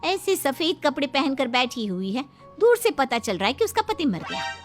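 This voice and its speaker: A woman's voice: high-pitched, sing-song, moderate pace, soft background music, pitched above the performer's own voice. A woman voicing a vain, gossipy married woman in a cartoon, with a showy, catty manner. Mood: disapproving. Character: vain, gossipy married village women at a wedding.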